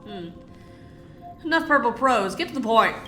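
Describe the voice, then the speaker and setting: A woman reacting live to a story game as a streamer, blunt, confrontational and quick to swear. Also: a little high, expressive, pushed and bright, echoey room, soft background music.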